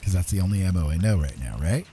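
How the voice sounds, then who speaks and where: A man's voice: low-pitched, lively intonation, quick pace, over music. A man doing casual live gameplay commentary, musing and thinking aloud in a rambling, self-directed way. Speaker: game streamer. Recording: clear background game noise. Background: video game sounds.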